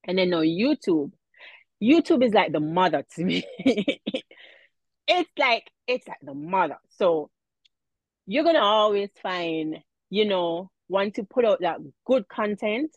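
A woman with a Jamaican accent, chatting as a guest in a casual interview, animated and laughing often. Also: mid-range voice, measured pace, no room sound. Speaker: guest, mom influencer.

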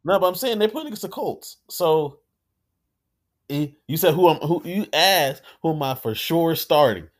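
A young man co-hosting a casual sports podcast, speaking calmly and softly, with some hesitation. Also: fairly high pitch, moderate pace. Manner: calm, soft-spoken, a bit hesitant. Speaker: podcast co-host. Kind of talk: casual fantasy football chat.

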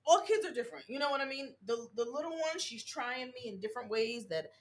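A woman co-hosting a casual chat podcast, blunt and animated, acting out scenes with plenty of cursing. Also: on the high side, a little fast. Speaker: podcast co-host; work supervisor.

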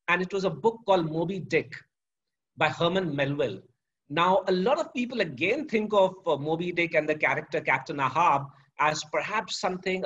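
A middle-aged man with a husky, slightly high voice speaks in a measured, articulate way, like someone used to teaching. The sound is dull and lo-fi. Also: a bit bright. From India. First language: Sanskrit. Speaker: guest, professor. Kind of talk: reflective interview answers. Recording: dull lo-fi audio.

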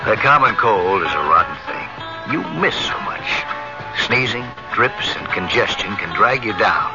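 A man's voice: fairly low pitch, lively intonation, unhurried. A man reading a scripted 1970s radio commercial as an announcer. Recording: dull vintage radio recording, music behind.

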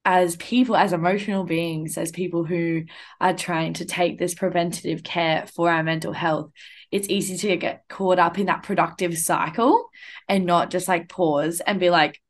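A woman's voice: lowish voice, fairly even intonation, moderate pace. A young woman hosting a casual podcast conversation, talking in a reflective way with lots of "like" and "um". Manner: reflective, uses many fillers like "like" and "um". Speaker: podcast host.

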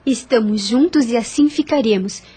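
An actress playing a brave, gentle young woman in a radio drama, earnest. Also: on the high side, moderate pace, slightly rough. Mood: earnest, reassuring.

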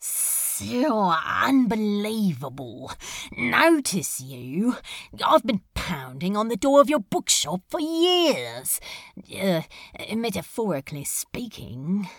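A woman playing a male demon in a fan audio drama, sardonic, exasperated and insecure, speaking in halting, drawn-out phrases. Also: mid-range voice, sing-song, no echo, studio quality, full character voice.

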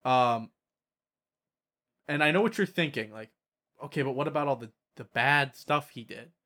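Male podcast host, mid-pitched voice, casual gaming chat, explanatory, checks in with listeners. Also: normal speed, pushed and bright. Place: online voice call, recorded at own microphone.

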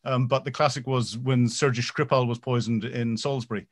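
A man, a military veteran, answering interview questions in a halting way, with many ums and repeated starts, growing animated as he goes. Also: mid-range voice.

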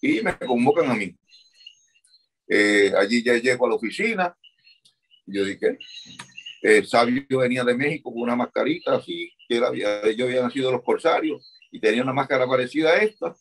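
An older man speaks with a husky, slightly breathy voice at an ordinary middle pitch. He answers earnestly and often repeats a word while he searches his memory. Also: animated, moderate pace. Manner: earnest, repeats words as he recalls. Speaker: interviewee, former wrestling manager. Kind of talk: reminiscing interview answers.

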